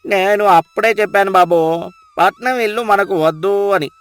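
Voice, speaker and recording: A man's voice: sits high, normal speed, a bit bright. A man voicing an anxious, deferential manservant who pleads and warns in a whiny way, for a cartoon moral story. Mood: alarmed. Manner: pleading, warning. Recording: music behind the voice. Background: music.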